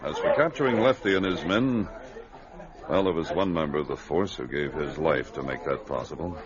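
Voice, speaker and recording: A man playing a stalwart Mountie sergeant in an old radio adventure serial, earnest, measured and authoritative. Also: rumbling low, over a noisy crowd. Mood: grave, resolute. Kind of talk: 1940s-50s radio adventure acting. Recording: dull lo-fi vintage radio recording.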